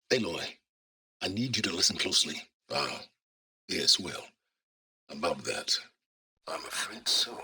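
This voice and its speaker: A cold, calculating scholar from a video game. His voice is lowish and very husky, with a forceful edge. He speaks in formal, measured phrases, aloof and condescending, with dry sardonic bite. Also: exaggerated voice. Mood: calm, resigned. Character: cold, calculating, aloof male scholar, middle-aged or older. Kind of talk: scripted video game voice acting.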